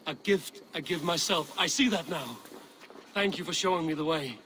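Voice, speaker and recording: An actor playing a proud, skeptical Viking warrior in a video game, with a dry, wry delivery and old-fashioned phrasing. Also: male voice, a little high, moderate pace, pushed and bright, slight background noise. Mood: sincere. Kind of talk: video game cutscene acting.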